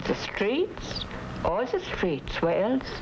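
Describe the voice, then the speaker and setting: Slow, gravelly immigrant mother. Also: mid-range voice, very animated. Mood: weary, guarded. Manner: halting broken English, plain short phrases. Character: weary, guarded immigrant working mother. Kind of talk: 1950s TV crime drama acting. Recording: noisy old TV soundtrack.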